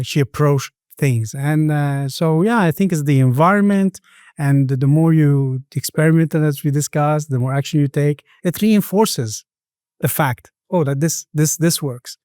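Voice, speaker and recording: Middle-aged man, smooth mellow voice. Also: on the high side, no echo, clean studio sound. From Middle East and Sweden. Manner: hesitant, halting phrasing with repeated words. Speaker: podcast guest. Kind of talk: reflective interview conversation.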